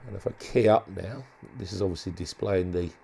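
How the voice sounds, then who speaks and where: Male hobbyist demonstrator, lowish gravelly voice, informal and unscripted, feels his way through sentences. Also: dark tone. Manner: halting, thinking aloud. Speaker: hobbyist presenter demonstrating a modified radio. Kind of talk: casual unscripted product walkthrough.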